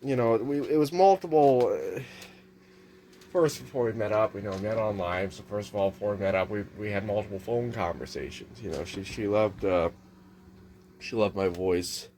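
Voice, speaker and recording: A man's voice: fairly low pitch, normal speed, a little mellow, slight background noise. A man with a nasal voice talking in a rambling, casual vlog monologue at home, restarting often and swearing casually. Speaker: vlogger. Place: home kitchen near a microwave.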